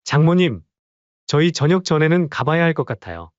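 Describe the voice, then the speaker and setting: A man plays a smug son-in-law who talks in a brisk, offhand way. His voice sits a bit high for a man. The recording is dry and a little dull. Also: sing-song, no room sound. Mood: dismissive. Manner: curt, offhand, dismissive. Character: arrogant son-in-law, impatient and dismissive.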